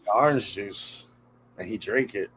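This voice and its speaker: A man's voice: lowish voice, a little slow, a bit bright, slightly rough, low-quality recording. A man co-hosting a podcast, chatting casually with blunt, slangy reactions. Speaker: podcast co-host. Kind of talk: casual chat.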